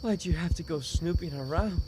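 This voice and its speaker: A man playing an obsessive stalker boyfriend in a dramatic roleplay audio, halting and pleading, with murmured endearments and nervous chuckles. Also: a little high, background noise, natural voice. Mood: desperate, pleading. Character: obsessive secret yandere stalker boyfriend, tender turning desperate.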